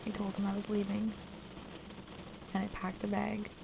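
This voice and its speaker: Creaky-voiced, distressed woman acted out in intimate roleplay. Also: medium pitch, completely flat delivery, noisy background, close to an everyday voice. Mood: weary, subdued. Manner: halting, emotional, pleading conversational delivery. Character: distressed, soaked woman wanting to leave her partner, defensive. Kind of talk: intimate ASMR roleplay audio drama, one side of a conversation.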